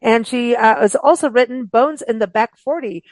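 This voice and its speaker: A woman's voice: on the high side, normal speed, darkish tone, dry recording. A woman hosting a books show, talking in a casual, rambling, informal way as she interviews guests. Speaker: host of a books radio show.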